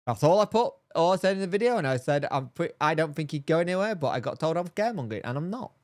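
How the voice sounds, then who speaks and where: A man with a somewhat high voice that sounds bunged up, nasal and throaty, as if he has a cold. He rambles and repeats himself, chatting casually to his viewers. His voice is recorded close and dry, with no room sound. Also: lively intonation, fast talker, no echo. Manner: rambling, repeats himself, answers chat by name. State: congested, bunged up. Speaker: fan channel host reading live chat. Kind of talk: casual live-stream chat.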